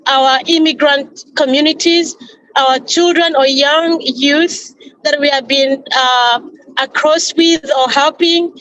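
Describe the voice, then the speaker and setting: A woman from Congo, speaking earnestly as a panel speaker at an event, pausing and rephrasing as she goes. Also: high-pitched, fairly even intonation, fairly bright tone, lo-fi sound. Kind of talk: earnest speech at an online panel.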